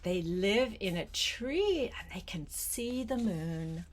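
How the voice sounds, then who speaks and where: Slow, gentle older woman reading aloud. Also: mid-range voice, expressive. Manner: gentle, unhurried, coaxing, addresses the child directly. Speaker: grandmother reading a picture book aloud. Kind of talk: read-aloud storytelling to a small child, with chatty asides.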